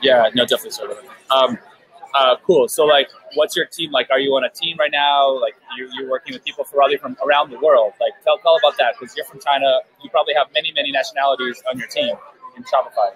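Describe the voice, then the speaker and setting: A man's voice: medium pitch, fairly even intonation, fast talker, fairly bright tone, rough recording. Chatty man hosting a live stream. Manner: chatty, loose, apologetic, full of fillers. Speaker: live stream host interviewing guests. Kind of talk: casual live interview. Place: busy outdoor event beer tent. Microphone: headphone mic.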